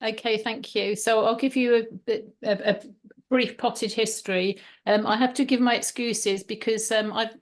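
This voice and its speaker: A middle-aged woman with a British accent, presenting to a video call group in a brisk, chatty way with many ums and restarts. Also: medium pitch.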